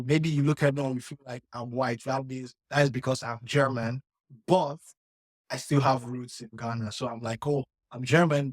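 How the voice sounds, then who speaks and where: A man's voice: mid-range voice, no echo. A young man from Nigeria co-hosting a podcast, talking in an earnest, reflective way with lots of "like" fillers. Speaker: podcast co-host. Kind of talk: casual chat.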